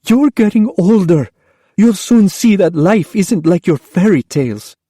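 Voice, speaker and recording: A man's voice: high-pitched, animated, unhurried, dark tone, no room sound, clean studio sound, voice pushed up. A man voicing a weary mother who speaks sharply and impatiently. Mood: stern.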